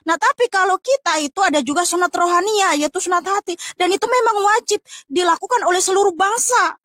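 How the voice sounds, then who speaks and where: A woman's voice: very high-pitched, fairly quick, a bit bright, dry recording, studio quality. A woman with an Indonesian accent explaining scripture in a religious debate, emphatic and didactic. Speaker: religious teacher on a panel.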